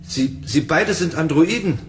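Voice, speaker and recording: High-voiced, naive, timid man. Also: expressive, a little slow, a little hiss or hum. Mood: earnest, gentle. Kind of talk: radio drama acting.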